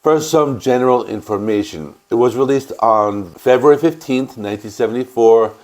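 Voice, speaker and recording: A man with a stuffy cold, hosting a music channel and calmly explaining an album's history in a casual, matter-of-fact way. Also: mid-range voice, normal speed. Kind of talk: casual record review and band history.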